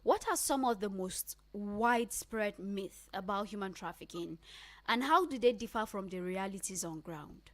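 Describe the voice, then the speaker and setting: A woman's voice: mid-range voice, normal speed, no echo. A woman with a Nigerian accent hosting a radio talk show from a studio. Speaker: radio host.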